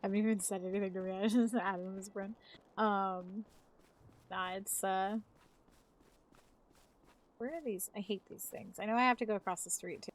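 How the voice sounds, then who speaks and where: A woman's voice: mid-range voice, steady tone, normal speed, long pauses, rough recording. A woman in her thirties, a live streamer chatting casually, rambling and swearing freely. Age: 30s. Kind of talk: casual chat.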